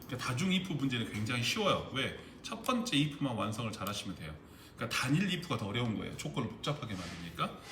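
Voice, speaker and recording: A man's voice: mid-range voice, sing-song, quick pace. A man teaching a recorded exam-prep lesson on spreadsheet formulas, explaining step by step and often asking the class whether they understood. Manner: explains step by step, keeps checking understanding with questions. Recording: echoey room with background noise.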